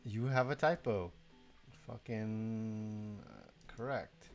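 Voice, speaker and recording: Sleepy, slow-talking low-voiced young man. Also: faint music behind. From Mexico. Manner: rambling, self-deprecating, swears casually. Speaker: streamer. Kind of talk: casual live-stream chat.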